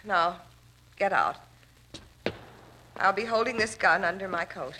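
A woman's voice: medium pitch, animated, a little slow, heavy background noise, lightly acted. An actress playing a scheming, determined woman in a 1940s radio drama, speaking precisely and melodramatically. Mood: tense, commanding. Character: scheming, determined woman feigning grief, threatening at gunpoint.

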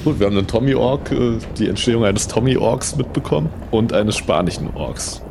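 A man's voice: medium pitch, expressive, moderate pace. A man hosting a casual, improvised audio play, talking in a colloquial style with exclamations. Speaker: podcast host and game leader. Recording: clear vehicle-like background noise.

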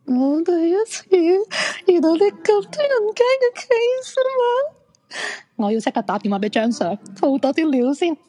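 An actress with a Hong Kong Cantonese accent playing an excitable, insistent crystal fortune-teller in a radio drama. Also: female voice, very high-pitched, normal speed. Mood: frightened excitement.

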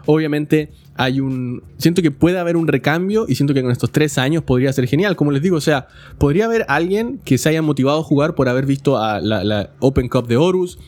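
Chilean guy doing a podcast, chatty and explaining stuff, average pitch. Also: darkish tone. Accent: Chilean Spanish. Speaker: podcast host. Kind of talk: casual podcast talk about esports.

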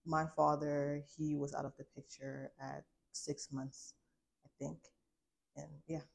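A young woman in her twenties shares a personal testimony in a low, fairly flat voice. She speaks informally and reflectively, with a candid conversational flow and a creaky edge. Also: unhurried. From Liberia, later United States. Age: 20s. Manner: informal, reflective, frequent use of "like". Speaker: guest sharing a personal testimony.

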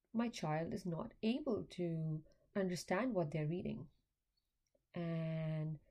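A young woman, a graduate student, hosting an educational podcast, explaining in a measured way and speaking directly to parents. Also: fairly low pitch, mellow. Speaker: podcast host; graduate student in applied linguistics. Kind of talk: scripted educational podcast talk.

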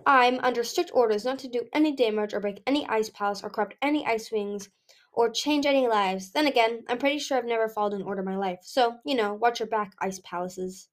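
A woman's voice: fairly high pitch, fairly quick, lightly acted. A woman voicing a bubbly, rebellious young female dragon in a storybook, teasing, exclamatory and dramatic. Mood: cheeky.

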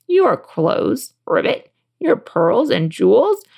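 A woman's voice: a little high, sing-song, slow pace, vocal fry, a little mellow, exaggerated voice. A woman voicing a small male talking frog from a storybook, eager and insistent, who punctuates speech with ribbits. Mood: bargaining. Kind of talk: storybook character voice.

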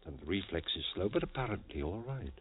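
An actor playing a fervent, devoted scientist husband growing desperate, in a melodramatic old radio horror play. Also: male voice, deep voice, sing-song, fast, breathy edge, heavily acted. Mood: confident. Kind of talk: 1940s radio horror acting. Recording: tinny, muffled old radio recording.

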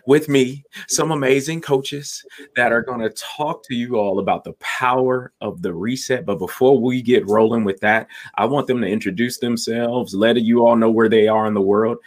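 A man's voice: mid-range voice, moderate pace. Male host, enthusiastic and chatty, casual interview delivery. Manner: enthusiastic and chatty, restarts sentences. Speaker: podcast host. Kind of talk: upbeat interview hosting.

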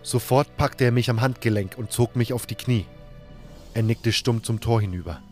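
A man's voice: medium pitch, normal speed, faint music behind. A man reading scripted first-person audiobook narration as a dramatic storyteller.

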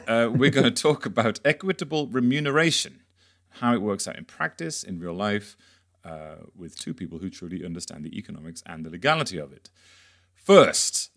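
A man's voice: lowish voice, a bit bright. A man hosting a podcast, moving between scripted introduction and interview with wry, jokey asides. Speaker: podcast host.

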